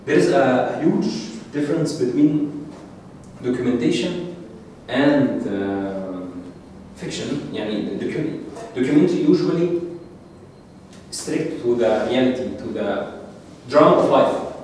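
A middle-aged man with an Egyptian Arabic accent, Arabic native, giving an informal lecture to an audience, halting and repeating words. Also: mid-range voice, animated, a little mellow. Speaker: masterclass speaker. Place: dark screening room with audience. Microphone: handheld mic, filmed from the back of the room. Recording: echoey room, distant mic, faint hiss.

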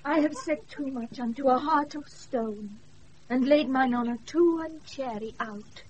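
A woman's voice: sits high, a little slow. An actress playing a proud, passionate, lovesick noble lady in a radio play, with formal, yearning verse delivery and a British accent. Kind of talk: classical radio play acting. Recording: dull, noisy off-air radio recording.

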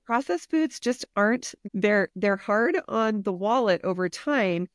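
A woman's voice: medium pitch, lively intonation, unhurried, no room sound. Encouraging woman wellness coach, dry sound. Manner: earnest, encouraging, practical. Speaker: health and wellness coach, guest. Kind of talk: podcast interview.

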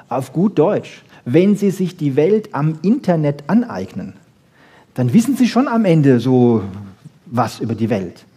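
Male lecturer, higher-set mellow voice, informal and insistent, teacherly delivery. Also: breathy edge. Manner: emphatic, didactic, with frequent check-in questions. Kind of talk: informal public lecture on research.

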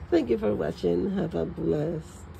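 A woman with as her talks slowly through a toy unboxing at home, and her voice is very gravelly and raspy. Her tone is mellow and dark, and she gushes with excitement over each piece. Faint room noise sits behind her. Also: fairly low pitch. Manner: excited, gushing over toys. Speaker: vlogger. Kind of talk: casual unboxing chat. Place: home, at a table.

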